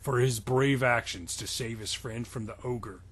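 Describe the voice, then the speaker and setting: A man co-hosting a comedic reading, breaking off to mock the story in halting asides. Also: mid-range voice, a bit bright, husky. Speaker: podcast co-host. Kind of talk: comedic dramatic reading with banter.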